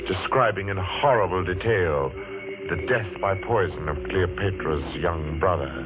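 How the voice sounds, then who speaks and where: Low, intense middle-aged clockmaker, obsessive and brooding, vintage muffled radio thriller with music. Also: male voice. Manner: intense, dramatic, deliberate. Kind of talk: 1940s radio drama acting, first-person narration. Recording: muffled old radio recording, music behind.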